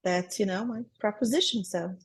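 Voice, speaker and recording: Upbeat woman guest with a Brazilian accent, mid-pitched, easy laughter. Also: normal speed. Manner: upbeat, laughs often between thoughts. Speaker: guest, transaction coordinator. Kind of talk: casual interview chat.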